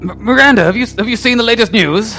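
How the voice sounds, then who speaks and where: A man playing a self-important scheming boss in an improvised comic radio play, pompous and halting. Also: high voice, gravelly. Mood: worried, plotting. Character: self-important male villain boss plotting market domination. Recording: music behind the voice.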